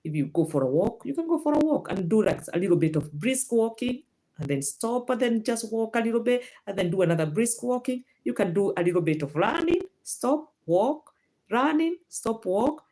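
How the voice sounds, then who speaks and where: A woman's voice: fairly high pitch, very animated, normal speed, warm tone. A woman from Kenya with a Kenyan accent, hosting a casual livestream health talk and answering viewer comments, with many fillers and restarts. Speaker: livestream host. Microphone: phone or laptop livestream.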